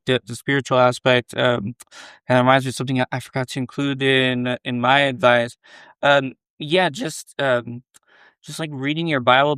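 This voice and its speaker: A man's voice: medium pitch, a bit bright, clean tone, dry recording. A young man sharing personal advice on a casual faith podcast, hesitant and full of fillers. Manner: hesitant, lots of fillers and likes.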